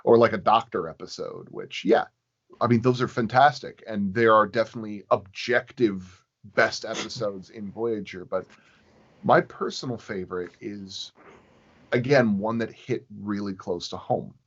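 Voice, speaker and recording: A man chatting casually as a podcast guest, halting and pausing as he thinks aloud. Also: lowish voice. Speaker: podcast guest, sound designer. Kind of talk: casual interview chat. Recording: dull, lo-fi voice recording.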